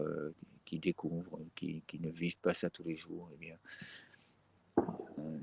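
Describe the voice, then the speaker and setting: A man with a very low, gravelly voice leads a guided breathing session. He speaks gently and unhurriedly, often pausing on fillers and starting phrases over. The sound is husky and dry, close and free of room echo.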